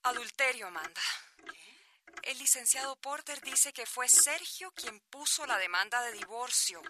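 An actress playing Inés, a brisk, stern lawyer, in a radio soap opera. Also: female voice, a little high, lively intonation, background noise. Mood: stern, accusing. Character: Inés, brisk stern woman lawyer confronting her client.

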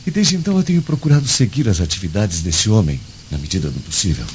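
An actor in a radio drama playing an earnest Roman guardian who reports in a measured, declamatory way. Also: male voice, low-pitched, sing-song, darkish tone. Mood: earnest, intrigued. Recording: dull lo-fi audio with faint noise.

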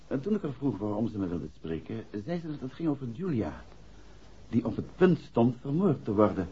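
An actor playing a composed, courteous amateur detective in a 1960s radio play, businesslike and to the point. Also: male voice, mid-range voice, very animated, moderate pace, mellow, slight background noise, close to an everyday voice. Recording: muffled 1960s radio recording.

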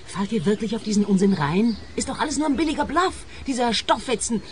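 An actress plays a discontented, peevish woman in a radio drama. Her melody is very lively and snippy, and clear outdoor birdsong runs behind her. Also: a little high, brisk, slight background noise, natural voice. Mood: snippy, defensive. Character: peevish, discontented adult daughter, a cornered killer. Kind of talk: radio play acting.

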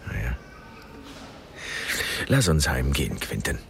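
A very deep, tired older father speaks in a radio play. He is resigned and mocks himself, pausing as he goes. Clear background noise surrounds the voice. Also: heavily acted. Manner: halting, resigned, bitterly self-deprecating. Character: weary, broken, self-mocking father, a failed scholar. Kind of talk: radio drama acting.

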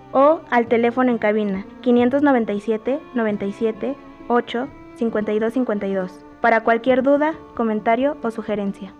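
Female radio co-host, Mexican accent, slightly high pitch, scripted reads, muffled lo-fi sound over music. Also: a little mellow. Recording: dull narrow sound, music behind.

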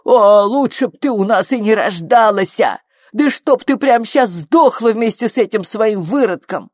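Woman acting coarse, loud, scolding mother. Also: mid-range voice, normal speed, no room sound, clean studio sound, voice pushed up, natural voice. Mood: furious. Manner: scolding, coarse colloquial speech. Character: coarse, furious working-class middle-aged mother of grown daughter. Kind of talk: audiobook character acting.

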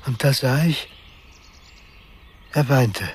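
Schauspieler als nachdenklicher, zurückgezogener junger Mann. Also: male voice, mid-range voice, a little hiss or hum, close to an everyday voice. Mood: quiet, melancholic. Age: young adult. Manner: reflective, measured, hushed at times. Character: sensitive, withdrawn young man recalling his past. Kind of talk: literary radio drama narration.

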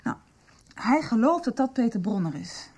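An actress playing a candid, supportive young girlfriend in a radio soap, explaining things in a halting, confiding way. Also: fairly high pitch, very animated, a little mellow, slightly rough, heavily acted. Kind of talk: Dutch radio soap acting.

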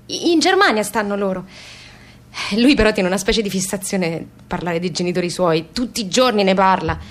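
An actress playing a young working-class daughter with a southern Italian accent, talking candidly and haltingly in a theatrical radio monologue. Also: female voice, medium pitch, lively intonation, natural voice. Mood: bemused, chatty. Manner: colloquial, halting, repeating phrases.

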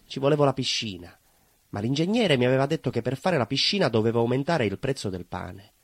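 An actor playing a simple, proud working-class baker in a stage monologue, earnest and conversational, with a southern Italian accent. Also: male voice, medium pitch, big pitch swings, fairly quick, slightly rough, no room sound. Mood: nostalgic, proud. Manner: naive, earnest, emphatic, conversational. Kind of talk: theatrical monologue, colloquial storytelling.

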